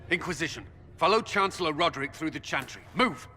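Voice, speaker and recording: An actor playing a stern, resolute military commander in a video game, giving clipped, urgent orders. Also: male voice, fairly high pitch, lively intonation, a little fast, bright, forceful tone, slight background noise. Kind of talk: dramatic video game acting.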